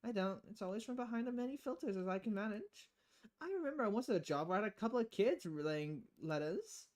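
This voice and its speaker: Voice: mid-range voice, lively intonation, quick pace, lightly acted. A streamer voicing a confident, sardonic young female hacker in a visual novel game. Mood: breezy, confident. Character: confident, flippant young woman freelance hacker.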